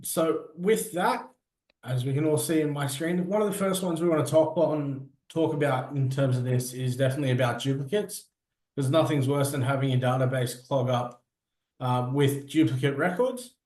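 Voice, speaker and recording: A man presenting an informal software demo, walking listeners through it step by step in an echoey room. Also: medium pitch, moderate pace.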